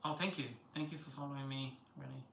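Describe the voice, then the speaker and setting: Male live-stream host, very bright tone, loose and rambling, echoey room with faint ambience. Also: mid-range voice, fairly even intonation, quick pace, gravelly. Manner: rambling, many fillers and restarts, chats with viewers. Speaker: livestream host. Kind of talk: casual live-stream monologue.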